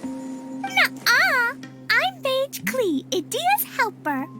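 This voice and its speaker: A woman voicing a cheerful, childlike little girl in an anime fantasy game, squeaky and excitable. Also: extremely slow, exaggerated voice. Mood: playful. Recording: music behind.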